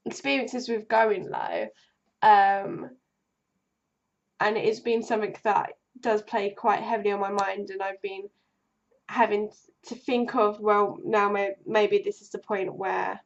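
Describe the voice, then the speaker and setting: A woman's voice: mid-range voice, gravelly. A young woman talks straight to camera in a casual vlog. She has a cold, so her voice is bunged up and keeps fading. She rambles with plenty of ums and false starts, and the recording sounds dull and a little lo-fi. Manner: rambling, many ums and restarts. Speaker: vlogger.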